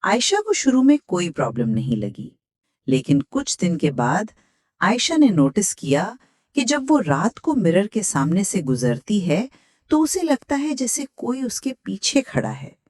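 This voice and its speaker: A woman's voice: medium pitch, very animated, measured pace, a little mellow, dry recording, studio quality. A woman with a Pakistani accent hosting and reading a scripted horror story. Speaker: host, horror story narrator.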